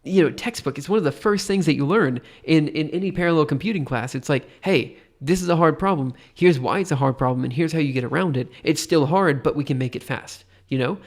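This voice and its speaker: A man's voice: on the high side, a little fast, few pauses. A tired man with a stuffy nose thinks aloud while live coding on a stream, rambling hesitantly and poking fun at himself. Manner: rambling, hesitant, self-deprecating.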